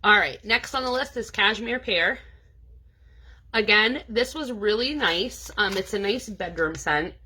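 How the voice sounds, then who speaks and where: Bright, stuffy-nosed chatty woman. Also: mid-range voice, unhurried, breathy edge, rough recording. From New England. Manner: chatty, many "um" and "like" fillers. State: stuffed up from allergies. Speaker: scent consultant. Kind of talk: casual product review.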